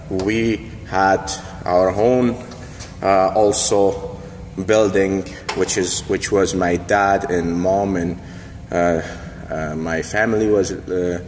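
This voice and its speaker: A deep-voiced man from Afghanistan talks slowly into a phone held up in a gym mirror. He hesitates often, fills gaps with "uh", and his English carries phrasing. The big gym room adds a long reverb and a faint hum behind him. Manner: hesitant, many fillers, non-native phrasing. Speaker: boxing coach. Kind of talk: casual personal storytelling to camera. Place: large indoor gym. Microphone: phone held in mirror selfie.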